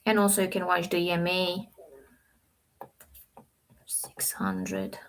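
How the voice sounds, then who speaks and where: Slow-talking woman from Romania. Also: on the low side, a little hiss or hum. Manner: slow, relaxed, thinking aloud at the charts. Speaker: live-stream trading host. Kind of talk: casual live-stream chat reading viewer comments.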